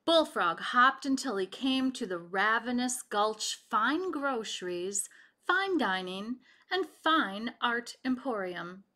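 A middle-aged woman reading a children's story aloud with deliberate, dramatic expression. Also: a little high, expressive, sharp tone. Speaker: picture book reader. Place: home kitchen, indoors.

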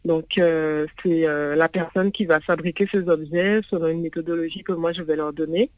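A woman's voice: low-pitched, steady tone, normal speed, a little mellow, no room sound, low-quality recording. A woman whose first language is English, speaking as a guest over the phone and hesitating with lots of fillers. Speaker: guest, founder of a parents' venue. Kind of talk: phone interview. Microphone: phone call.

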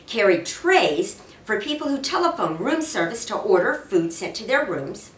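Slow, expressive woman reading a storybook. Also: medium pitch. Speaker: mayor reading aloud. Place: indoor office or lounge. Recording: roomy, distant mic with faint noise.

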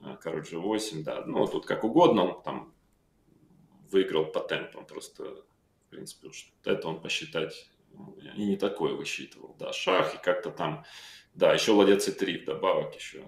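A middle-aged man talks from a room at home over a video call, his voice lowish and the sound roomy. He goes through chess moves calmly and reflectively, thinking aloud with frequent fillers. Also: moderate pace, gravelly. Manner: calm, reflective, calling out moves with many fillers. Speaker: chess player recalling his own game. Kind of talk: analytical interview talk. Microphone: video call microphone.

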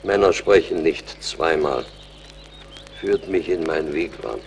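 An actor playing a stern, dignified old chief in a radio play, solemn and measured. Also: male voice, rumbling low, a little hiss or hum, exaggerated voice. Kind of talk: dramatic radio play acting.

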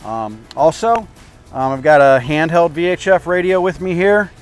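A man's voice: on the high side, normal speed, soft background music. An older man, a boat captain, giving casual instructional boating tips outdoors on a lapel mic. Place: outdoors at a marina by a parking lot. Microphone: clip-on lapel mic.

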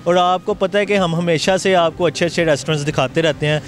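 A man's voice: on the high side, fast talker, soft background music. A man with a Pakistani accent presenting and interviewing on location as a food show host, speaking into a handheld microphone. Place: outdoor restaurant at night. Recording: clear street and traffic noise.

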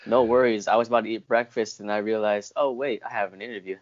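A man's voice: fairly low pitch, fairly even intonation, fast, a crowd in the background. A young man hosting a casual interview over a video call, informal and somewhat hesitant as he talks. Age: young adult. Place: indoor room at home. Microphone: wired earphone mic on video call. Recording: dull, muffled video-call audio.

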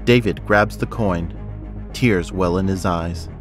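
A man's voice: low voice, slow pace. A man giving scripted narration for an action audio drama. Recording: loud music over the voice.

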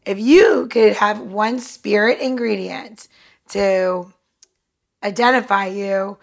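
A woman's voice: mid-range voice, takes it slowly, gravelly. A woman co-hosting a podcast, talking in a casual, chatty style. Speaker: podcast co-host. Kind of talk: casual chat.

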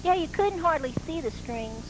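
A woman's voice: sits high, animated, normal speed. A woman voicing a fussy, agreeable hand puppet in casual banter on a children's show, on a noisy old TV tape. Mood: fussy, chatty. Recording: noisy 1980s TV broadcast tape.

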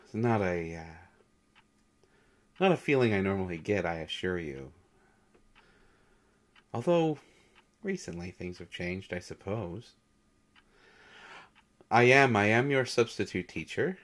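A man playing a shy, gentle substitute teacher, halting and nervous, in an intimate audio roleplay lecture. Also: low voice, sing-song, full character voice. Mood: nervous, apologetic. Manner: hesitant, nervous, halting with soft chuckles. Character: shy, gentle male Greek god posing as substitute teacher.

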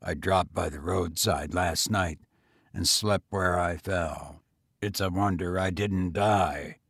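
A man voicing a weary, bitter gentleman tramp in a story, speaking in a grim, resigned, subdued manner. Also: low voice, slow, gravelly, slightly rough, no room sound, studio quality, voice pushed down, heavily acted.

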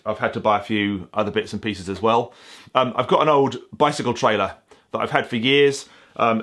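Forties male maker, slightly low voice, easygoing and talkative, filmed close-up indoors in a workshop. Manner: chatty, relaxed, explaining step by step with many ums. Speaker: bushcraft and canoe maker vlogger. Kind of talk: casual talking to camera. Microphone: camera close to face.

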